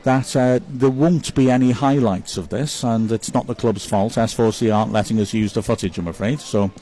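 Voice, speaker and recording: A man's voice: medium pitch, steady tone, moderate pace, dark tone. A man giving live club commentary on a football match, reading out viewer messages and chatting upbeat about each player.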